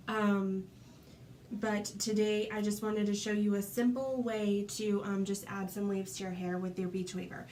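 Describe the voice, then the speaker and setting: A woman's voice: medium pitch, darkish tone, slight background noise. Congested woman chatting through a tutorial. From Florida. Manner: chatty, many ums, demonstrating while talking. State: congested with a cold. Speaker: hair tutorial host. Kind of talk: casual tutorial chat.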